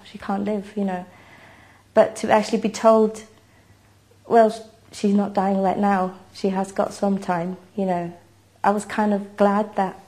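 A woman's voice: mid-range voice, a little mellow. A woman in her thirties from Iceland, a native Icelandic speaker, giving a personal interview account at home. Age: 30s. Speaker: mother telling her family's story. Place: home kitchen, indoors.

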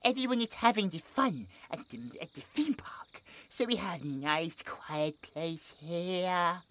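A sweet childlike possessed creature. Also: male voice, high-pitched, animated, a little slow, fairly bright tone, slight background noise. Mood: cheerful, curious. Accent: British. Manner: talks about himself in third person, broken childlike grammar. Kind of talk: horror audio roleplay.

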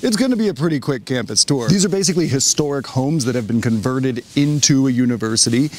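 A man's voice: medium pitch, fast, slight background noise. A man in his thirties hosting a college vlog, talking animatedly to a handheld camera outdoors on a city street. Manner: animated, explanatory. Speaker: college counselor host. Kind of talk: upbeat vlog presenting.

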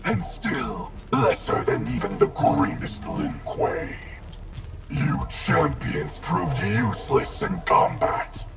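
A man voicing a grim, proud wraith ninja in a video game, gravelly and slowly threatening. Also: fairly low pitch, wildly swooping pitch, full character voice. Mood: cold menace. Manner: slow, deliberate, threatening delivery. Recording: music playing behind.

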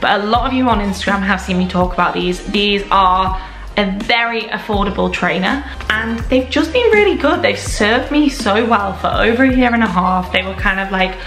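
A woman's voice: medium pitch, lively intonation, moderate pace, a bit bright. A young woman in her twenties chatting to camera at home, reviewing products with gushing enthusiasm. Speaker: lifestyle vlogger. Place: indoor room at home. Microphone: camera at desk distance. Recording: echoey room with faint music.

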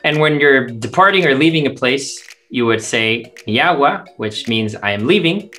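A man narrates a language lesson in a mid-pitched voice. He explains words and their meanings clearly and methodically, as a teacher would. Music plays behind him. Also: lively intonation, moderate pace, sharp tone. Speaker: teacher, translator.

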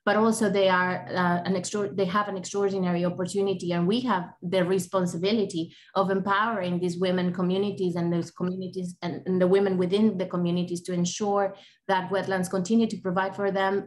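Low, flat-voiced woman from Ecuador. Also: brisk. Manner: earnest, restarts often with many fillers. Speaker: panelist from a bird conservation group. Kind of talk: online panel discussion. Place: home or office on a video call, indoors. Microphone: laptop webcam mic on a video call.

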